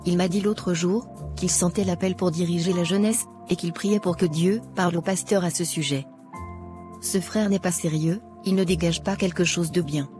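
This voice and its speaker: Woman acting as self-righteous young churchwoman, low mellow voice, preachy cartoon dialogue, impatient, music behind. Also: steady tone, moderate pace, lightly acted. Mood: smug, gossipy. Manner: preachy, lecturing.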